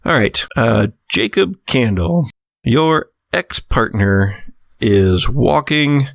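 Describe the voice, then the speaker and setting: Slow, gravelly man narrating as game master. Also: medium pitch, expressive, no echo, clean studio sound. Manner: halting, searching for words. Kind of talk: narrating a horror tabletop game.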